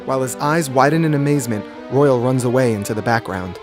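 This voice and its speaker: A man's voice: mid-range voice, fast talker. A man reading a scripted children's story as its narrator. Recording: music behind the voice. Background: background music.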